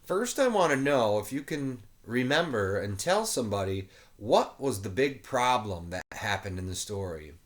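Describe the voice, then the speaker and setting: A man's voice: fairly low pitch, big pitch swings, moderate pace, few pauses. A man reading a children's picture book aloud expressively, with playful asides to young listeners. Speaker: children's story reader, teacher.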